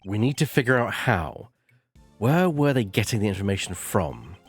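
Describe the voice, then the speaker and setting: A man voicing a stern, sceptical investigator in a video game read-through, with a measured, challenging manner. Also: on the low side, sing-song, breathy edge, no echo, soft background music. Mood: pensive. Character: stern, sceptical male investigator confronting a villain. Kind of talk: live video game voice-over.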